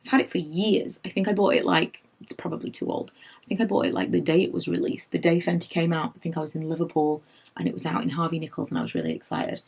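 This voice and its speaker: A woman in her late thirties, slightly hoarse from losing her voice, chatting through her makeup favourites and wandering off on tangents. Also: fairly low pitch, fast talker. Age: late 30s. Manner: rambling, goes off on tangents; animated, rises when indignant. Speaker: beauty vlogger.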